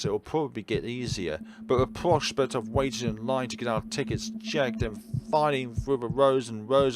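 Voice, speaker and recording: A man's voice: fairly low pitch, noisy background. A man reading a visual novel aloud as a let's player, mixing narration with casual asides and sometimes tripping over words and correcting himself. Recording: music playing behind the voice. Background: game music.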